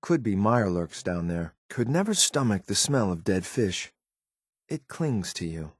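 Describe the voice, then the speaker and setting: Deep-voiced, deadpan game soldier. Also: male voice, measured pace, slightly rough, no echo, clean studio sound, heavily acted. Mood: cold, disdainful. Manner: clipped, deadpan, disdainful. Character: grim synthetic male soldier, cold, disdainful of the wasteland. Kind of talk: scripted video game companion lines.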